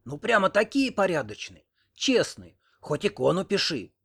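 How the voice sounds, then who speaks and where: A man voicing a sharp-tongued, nosy old village grandmother in an audiobook, scolding and indignant. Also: fairly high pitch, expressive, brisk, pitched above the performer's own voice. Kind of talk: audiobook character reading.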